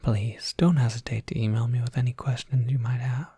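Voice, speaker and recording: A person voicing a courteous Cerberus professor in a whispered ASMR roleplay, polite, gently scholarly and prone to rambling, with a soft breathy whisper. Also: mid-range voice, steady tone, a little fast, dry recording, clean studio sound. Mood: courteous, welcoming.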